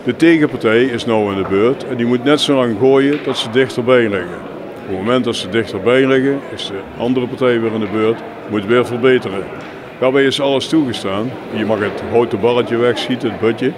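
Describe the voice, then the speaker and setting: A man explaining his sport in an informal interview, with halting, filler-strewn delivery. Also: mid-range voice. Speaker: jeu de boules club representative being interviewed. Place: busy sports hall, on location. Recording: noisy venue with clear background ambience.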